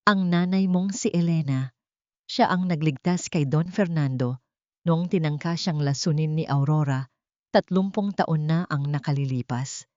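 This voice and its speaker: A woman voicing a solemn male lawyer in an audiobook, grave, formal and deliberate. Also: low-pitched, darkish tone, no echo, lo-fi sound. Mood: grave, revealing. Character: solemn male lawyer revealing old secrets.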